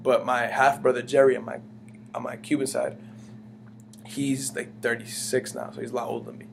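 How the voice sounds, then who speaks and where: A man's voice: medium pitch, little pitch movement, normal speed, gravelly. A young man from the United States, talking in a rambling, casual way as the interviewee, full of fillers and restarts. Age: young adult. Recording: humming background noise.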